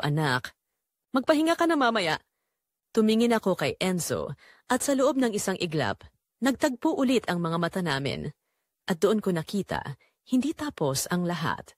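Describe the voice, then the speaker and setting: A woman narrating as a guilt-ridden, secretly longing mother in a scripted audio drama, with a measured, confessional delivery. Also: mid-range voice, big pitch swings, dry recording, clean studio sound. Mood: longing, resolved.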